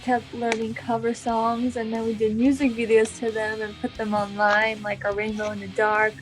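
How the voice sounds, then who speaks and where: A woman's voice: on the high side, completely flat delivery, normal speed, faint music behind, low-quality recording. A woman of twenty, the band's drummer, eagerly jumping in to add details to the story. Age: 20s. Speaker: drummer in a family band.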